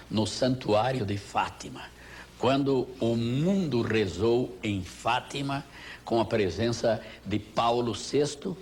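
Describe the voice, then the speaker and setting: An older man with a Brazilian accent, a veteran TV reporter, reminiscing in a formal, grandiloquent, measured style. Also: mid-range voice. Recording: faint noise, old TV broadcast tape.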